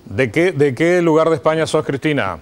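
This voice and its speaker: A man's voice: a little high, animated, moderate pace. A middle-aged man with an Argentine accent, hosting a live TV interview in a conversational way. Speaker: TV host. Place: TV studio desk. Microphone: lapel mic.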